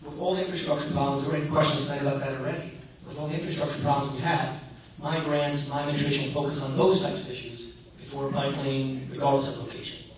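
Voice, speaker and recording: A man's voice: mid-range voice, fairly even intonation, fast, hardly any pauses. A man who is losing his voice, answering as a candidate in a debate. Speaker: mayoral candidate. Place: synagogue hall. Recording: echoey hall from far off, some noise.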